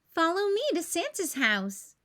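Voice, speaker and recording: A woman's voice: very high-pitched, very animated, unhurried, fairly bright tone, pitched above the performer's own voice, heavily acted. A woman voicing a cheerful, friendly male dinosaur in a children's storybook, encouraging and exclamatory.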